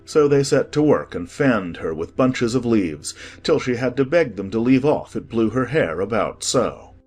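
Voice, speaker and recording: A woman's voice: a bass voice, expressive, moderate pace, a little mellow, breathy edge, soft background music. A woman narrator reading a scripted children's storybook as part of a dramatized audiobook.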